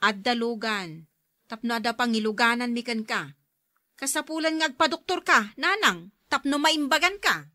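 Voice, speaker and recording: A woman playing a caring, practical elder sister and daughter in a radio drama, gently persuasive. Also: on the high side, lively intonation, moderate pace. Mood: coaxing.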